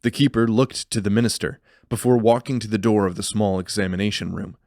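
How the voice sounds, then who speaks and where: Male narrator for fantasy audio drama: lowish voice, measured storytelling, close dry sound. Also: darkish tone, no room sound.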